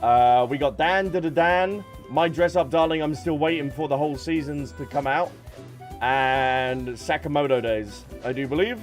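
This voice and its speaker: A man's voice: a little high, moderate pace. A man streaming a game, chatting casually and thinking aloud as he answers viewers. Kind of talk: casual livestream chat while gaming. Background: background music.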